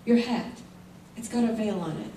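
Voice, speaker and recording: A woman's voice: medium pitch, moderate pace, mellow, lightly acted. A woman playing a fragile, earnest injured wife in an old-time radio drama on stage, with melodramatic delivery. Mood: urgent, determined. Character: fragile, trusting injured wife turning distraught and determined. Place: theater stage before a live audience. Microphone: stage mics, recorded by far camera. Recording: echoey stage hall, far mic, faint noise.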